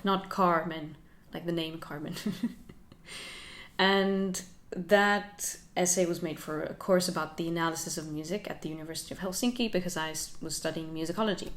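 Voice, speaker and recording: A young woman talks through her learning journey for her channel. She is soft-spoken and reflective, with a slightly low voice, and she laughs lightly as she goes. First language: Finnish. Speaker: channel host. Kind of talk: casual talking-head vlog.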